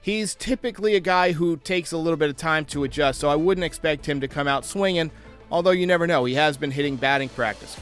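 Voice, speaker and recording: A man's voice: a little high, fast talker, a bit bright, clear, resonant tone, soft background music. A man hosting a baseball fan show, delivering sports commentary and previews. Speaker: host of a baseball fan channel.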